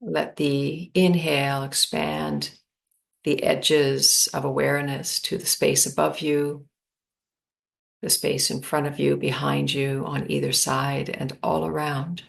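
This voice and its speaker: A woman guides a meditation in a low voice with a creaky edge. She speaks very slowly and calmly, leaving long pauses between her phrases. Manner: slow, calm, spacious phrasing with long pauses.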